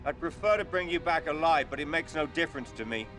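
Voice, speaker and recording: A man's voice: fairly high pitch, animated, fast, music in the background, natural voice. An actor playing a stern, relentless male secret agent in an action video game, terse and commanding.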